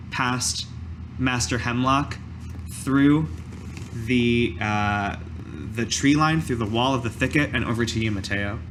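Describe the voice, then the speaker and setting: A man narrating a tabletop roleplaying game as game master, in a halting way with pauses mid-sentence. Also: medium pitch, a bit bright, slight background noise.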